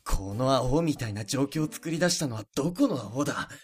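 A man playing a surly, sarcastic high school boy in a voice drama, curt, grumbling and rude. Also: animated, a little fast, close to an everyday voice. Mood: irritated. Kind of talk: Japanese voice drama acting.

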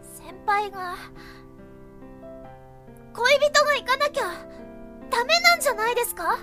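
A first-year high school girl with a very high, small voice. She is shy and polite, stammering but earnest and determined underneath. It is voice drama acting with music clearly behind it. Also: lively intonation. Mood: hesitant, earnest. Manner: flustered, halting, polite stammer.

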